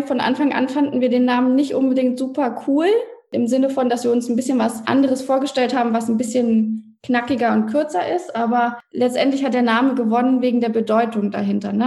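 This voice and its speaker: A woman's voice: fairly high pitch, dark tone. A woman in her thirties from Berlin, a guest chatting at length in an interview. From Berlin, Germany. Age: 30s. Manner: chatty, explains at length with fillers. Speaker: podcast guest, co-founder. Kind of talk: casual interview talk.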